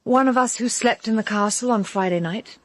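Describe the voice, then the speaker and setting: An actress playing a composed, observant woman in a radio drama, British-accented, measured and quietly probing. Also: fairly high pitch, no room sound, lightly acted. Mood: firm. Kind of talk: full-cast radio drama dialogue.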